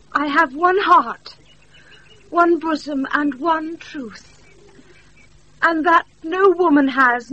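Bright, high-voiced earnest youth. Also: female voice, full character voice. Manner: earnest, formal. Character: earnest young woman disguised as a youth. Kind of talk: classical Shakespearean radio acting. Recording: muffled off-air radio recording.

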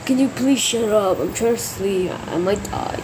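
A woman's voice: mid-range voice, normal speed, voice pushed down, lightly acted. A woman voicing a tired, grumpy old man who grumbles wearily, in an amateur cartoon dub. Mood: weary. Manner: grumbling, weary. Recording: noisy home recording.

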